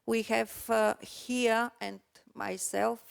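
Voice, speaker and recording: A woman moderates a conference session, speaking very slowly with heavy vocal fry. Her voice is smooth beneath the gravel, and she pauses often on hesitant "uh"s. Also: on the high side, level tone. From Bulgaria. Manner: slow, hesitant, many uh pauses.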